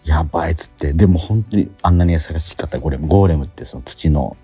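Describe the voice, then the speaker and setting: Middle-aged man, very low voice, mellow tone, casual radio host, halting delivery, faint music behind. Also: husky. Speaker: radio host and theatre actor. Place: theatre auditorium during rehearsal.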